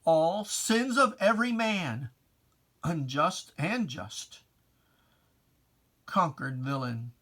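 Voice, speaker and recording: A reader delivers religious poetry as a theatrical recitation. The pace is very measured, with weighty pauses between short phrases. The pitch sits in a middle register, and the address is solemn, stern and taunting. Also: pushed and bright. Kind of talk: dramatic recitation of religious rhyming poem.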